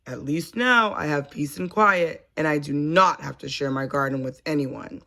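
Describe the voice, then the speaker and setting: A woman voicing a brooding, self-absorbed male giant in a children's storybook, with a slow, sighing manner. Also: sits low, a bit bright, voice pushed down. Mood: grumbling resignation.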